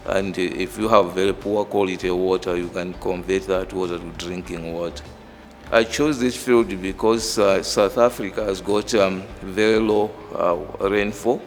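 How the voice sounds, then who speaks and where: A middle-aged man with a Malawian accent, a professor explaining work and background in a measured, halting way. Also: sits low, fairly even intonation. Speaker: engineering professor. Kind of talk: interview monologue explaining own work and background. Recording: reverberant hall, music underneath. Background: background music.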